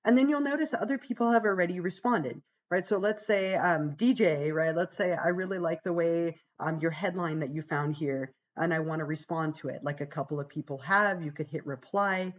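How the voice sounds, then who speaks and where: A woman psychology instructor, hoarse and gravelly from being sick, walking students through a course page in a chatty, reassuring way with many "um"s. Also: moderate pace, hardly any pauses, lo-fi sound. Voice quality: gravelly, deeper than usual. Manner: chatty, reassuring, fillers like "um" and "right?". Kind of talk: casual livestream course walkthrough.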